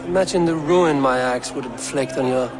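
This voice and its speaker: An actor playing a cool, self-assured Viking raider with a dry, taunting wit in a video game. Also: male voice, mid-range voice, moderate pace, a crowd in the background, natural voice. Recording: game audio with music behind.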